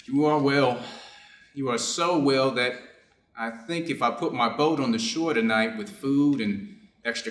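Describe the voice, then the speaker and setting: A man's voice: mid-range voice, fairly bright tone, natural voice. A man playing a reserved, conflicted Japanese doctor in a staged reader's theater drama, formal and guarded in his phrasing. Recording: roomy, echoing space.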